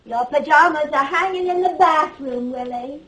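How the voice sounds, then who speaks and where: An actress playing an older wife and mother, gentle, anxious and devoted, in a stage drama. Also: sits high, normal speed. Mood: hopeful, soothing.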